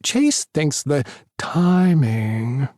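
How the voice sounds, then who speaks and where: A man's voice: fairly high pitch, big pitch swings, drawn-out words, darkish tone, no room sound, clean studio sound, exaggerated voice. A man doing a sassy flamboyant young vet. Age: 20s. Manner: theatrical, camp, mock phone call.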